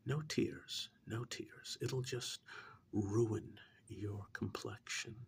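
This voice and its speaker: A man acts out a coldly menacing killer in an intimate ASMR role-play. His male voice is soft and breathy, often sinking into a whisper with a gravelly crackle. He speaks extremely slowly and deliberately, patronizing his captive with drawn-out pauses. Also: on the low side, fairly even intonation, heavily acted. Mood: mock soothing.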